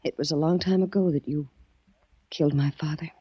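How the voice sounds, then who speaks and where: A woman's voice: sits low, fairly even intonation, a little fast, slightly rough, no room sound. An actress playing a vengeful young woman holding a man at gunpoint, in clipped, threatening 1940s radio acting. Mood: cold threatening. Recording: dull old radio broadcast.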